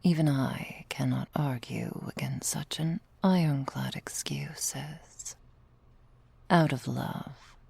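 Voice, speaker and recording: A very slow, low-pitched female vampire lover, acted by a woman murmurs in an intimate ASMR roleplay, half whispered and breathy. She is drowsy, possessive and teasingly fond, with languid, courtly phrasing and a creaky edge. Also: mellow, dry recording, full character voice. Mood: wry, teasing. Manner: languid, formal archaic wording, teasing. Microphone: close microphone, dry.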